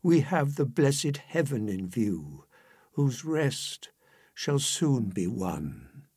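A man's voice: medium pitch, sing-song, extremely slow, a little mellow, slightly rough, no room sound, clean studio sound, exaggerated voice. A man voicing a devoted, anguished noblewoman in an impassioned, declamatory verse recitation. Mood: tender, exhorting. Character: devoted, anguished noblewoman pleading to stay with her dying husband.

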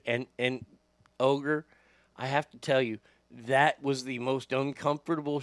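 A middle-aged man with a high voice that lacks bass, telling profane, animated stories as a radio host. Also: no room sound. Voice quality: high voice without much bass. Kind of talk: casual storytelling podcast.

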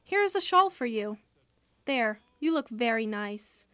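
Radio play part: kindly, generous lady caroler woman acting, high voice, encouraging, dry sound. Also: expressive, a little slow, a bit bright, clean tone, no echo.

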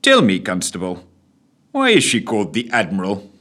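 A man's voice: on the low side, huge pitch swings, moderate pace, fairly bright tone, slightly rough, full character voice. A man voicing a pompous, exasperated male prime minister who blusters and stammers.

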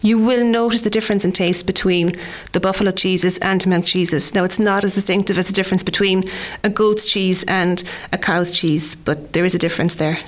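A woman's voice: lowish voice, steady tone, a little fast, hardly any pauses. An Irish woman in her forties, chatting casually on radio about food, rambling with frequent restarts. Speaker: food contributor.

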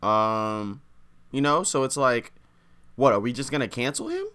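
Animated slangy young man. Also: mid-range voice, measured pace, clean tone. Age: 20s. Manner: slangy, animated, repeats "bro". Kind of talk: casual podcast chat.

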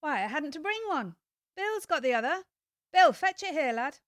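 A woman voicing an excitable male workman shouting to a mate in an audiobook. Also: sits high, normal speed, no echo, studio quality, pitched above the performer's own voice. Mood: excited.

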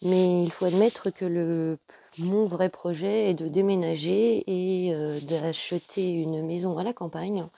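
French woman, 40s, plain talk, gravelly and dark, dull recording. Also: fairly low pitch, fairly even intonation, a little slow. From France. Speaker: interviewee.

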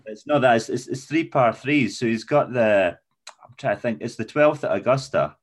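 A man's voice: mid-range voice, normal speed. A man hosting a chatty interview on a video call, asking rambling, joking questions. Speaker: podcast host. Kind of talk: casual interview chat.

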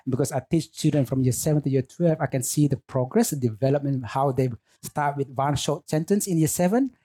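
A middle-aged man from Indonesia, speaking English as a later language, answering interview questions as a teacher in a thoughtful, unhurried way. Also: medium pitch, dark tone, husky, no echo. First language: Lamaholot. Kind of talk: relaxed radio interview. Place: studio.

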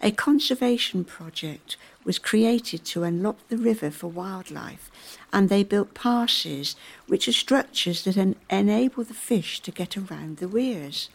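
A woman acts an earnest river volunteer in a community radio play. Her voice sits at an average pitch with clear fry in it. She explains things in a measured, scripted way. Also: animated. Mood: earnest, explanatory. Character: earnest woman volunteer fish counter and embroiderer.

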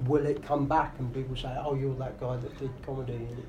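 A man's voice: mid-range voice, little pitch movement, a little fast, warm tone. A man who is a comedian and radio host, answering interview questions in casual, thoughtful talk with many fillers. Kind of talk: podcast interview. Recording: live room with faint noise.

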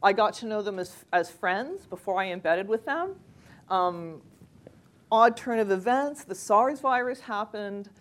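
A woman, a freelance journalist giving a measured, anecdotal personal account during a panel discussion. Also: mid-range voice, lively intonation. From Canada.

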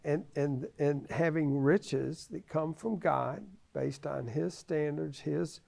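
A man in his seventies with a creaky voice, giving conversational religious teaching in a halting way, repeating phrases for emphasis. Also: on the high side, a little mellow. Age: 70s.

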